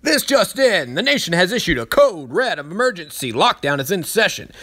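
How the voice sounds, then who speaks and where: A man's voice: sits high, sing-song, brisk, bright, forceful tone, full character voice. Urgent, formal cartoon anchorman, male actor, mock emergency bulletin delivery. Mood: grave, urgent. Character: male TV news anchor reading grave bulletin. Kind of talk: mock breaking-news announcement.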